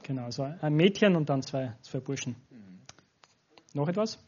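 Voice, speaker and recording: A man's voice: on the high side, animated, normal speed, a little mellow, slight background noise. An Austrian man in his forties, a pastor speaking informally and directly to a church congregation as a guest. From Styria, Austria. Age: 40s. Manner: informal, addresses listeners directly. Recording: dull, lo-fi sound.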